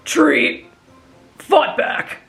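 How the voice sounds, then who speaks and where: A woman's voice: high voice, drawn-out words, creaky, a bit bright, faint music behind, exaggerated voice. A woman playing a brash, stubborn teenage boy raised in the wild, blunt and childlike, in an anime fan drama. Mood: dazed, sulky.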